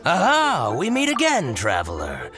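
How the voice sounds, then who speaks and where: A wildly expressive, scheming shopkeeper. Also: male voice, a little high, a little slow, music in the background. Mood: oily, flattering. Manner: theatrical, oily and indignant. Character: smug, scheming shopkeeper, easily riled by his rival. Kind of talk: video game voice acting.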